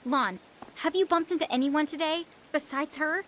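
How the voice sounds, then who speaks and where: A woman playing a sensible, bossy young sister with an American accent in an animated game cutscene. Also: high voice, moderate pace, slight background noise. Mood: alarmed, urgent.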